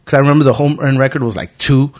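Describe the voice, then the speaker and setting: A man's voice: mid-range voice, very fast. A man in his thirties hosting a casual podcast chat, telling stories with lots of swearing and acting out what friends said. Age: 30s. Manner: animated storytelling, acting out quoted friends. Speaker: podcast host.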